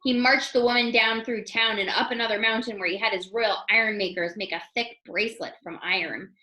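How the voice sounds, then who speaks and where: A woman reading a children's storybook aloud in an expressive storytelling style. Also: on the high side, a little fast, echoey room, low-quality recording. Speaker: storybook reader.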